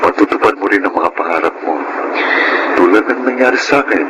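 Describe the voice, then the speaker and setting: An actor playing a conciliatory, apologetic young boyfriend, earnest and placating, in a Filipino radio drama. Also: male voice, low-pitched, animated, brisk. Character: apologetic, conciliatory young man with a jealous girlfriend. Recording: noisy radio drama recording.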